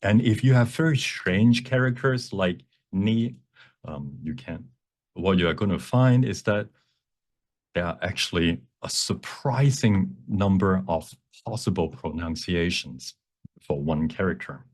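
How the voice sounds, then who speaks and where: A man teaching a live software demonstration, explaining step by step in a halting, self-repeating way and switching between two languages. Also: low-pitched. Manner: bilingual, switches between English and Cantonese. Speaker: workshop presenter, instructor.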